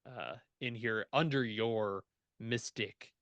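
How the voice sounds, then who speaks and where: Guy running a tabletop game, slow easygoing narration, dry close sound. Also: on the low side, fairly bright tone, no room sound, lo-fi sound. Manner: unhurried, with fillers and laughs. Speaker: game master.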